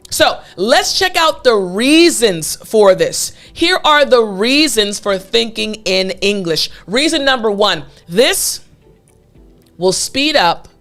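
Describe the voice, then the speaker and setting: A woman's voice: a little high, fairly bright tone. A young woman teaching English, emphatic and encouraging, repeating her key points. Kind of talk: scripted lesson to camera.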